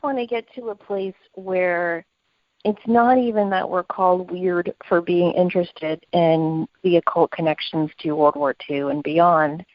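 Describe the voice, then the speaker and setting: A woman's voice: on the low side, fairly even intonation, a little slow, no room sound, highs cut off. A woman co-hosting a conversational podcast, speaking in an earnest, opinionated way. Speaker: podcast co-host.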